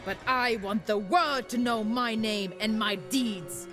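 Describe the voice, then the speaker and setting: A woman's voice: a little high, takes it slowly. A proud pirate queen. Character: proud ambitious female pirate queen, adult. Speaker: game character voice lines. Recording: game audio under music. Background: game music.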